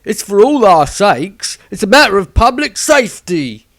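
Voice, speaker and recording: A man's voice: high-pitched, sing-song, moderate pace, fairly bright tone, no echo, studio quality, voice pushed up, heavily acted. A man voicing an angry, stubborn rustic villager, blunt and indignant, in a fantasy storybook. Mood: defiant. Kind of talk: storybook character acting.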